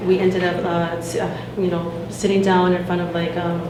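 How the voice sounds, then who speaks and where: A woman's voice: lowish voice, level tone, moderate pace. A woman, secretary of a student association, giving a report to a meeting with many fillers and restarts. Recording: echoey room with noticeable noise.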